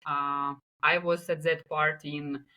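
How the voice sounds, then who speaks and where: A woman's voice: low-pitched, little pitch movement, takes it slowly, sharp tone. A woman from Ukraine with a Ukrainian accent, speaking English as a guest on a remote interview, hesitant with many filler sounds. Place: home in Kyiv, remote call.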